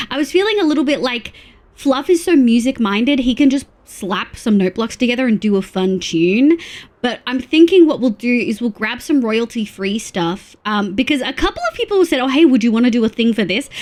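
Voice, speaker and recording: A chatty woman streaming a game, her voice thick and stuffy from congestion. She talks in an excitable, rambling way with lots of fillers, sitting a little above the usual pitch for a woman. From Australia. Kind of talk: casual livestream chat while playing.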